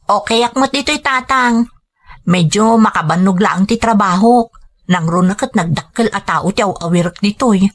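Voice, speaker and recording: An actress playing a dutiful, reassuring adult daughter working abroad in a radio drama, with an Ilocano accent. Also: female voice, mid-range voice, moderate pace, close to an everyday voice.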